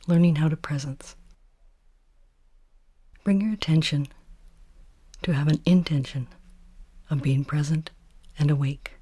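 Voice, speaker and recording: A woman teaches a guided meditation in a soft, hushed voice with a breathy, airy edge. Her tone is dark and relaxed, and her phrasing is measured and soothing. The sound is close and very dry. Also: sits low, dry recording. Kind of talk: guided body scan meditation.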